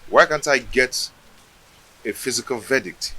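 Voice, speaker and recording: A man's voice: mid-range voice, lively intonation, a little slow, bright, forceful tone, rough recording. A man acting a solemn village figure in a theatrical screen drama, speaking formally. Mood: frustrated, formal.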